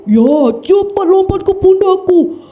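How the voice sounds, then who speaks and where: A woman telling a children's story in a lively, sing-song way, often addressing the children as friends. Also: very high voice, unhurried.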